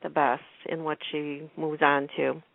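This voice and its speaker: A woman's voice: low voice, flat delivery, unhurried, gravelly, dry recording. A woman chatting casually as a panelist on a radio show about television. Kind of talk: casual chat about TV soaps. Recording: thin phone-like radio line.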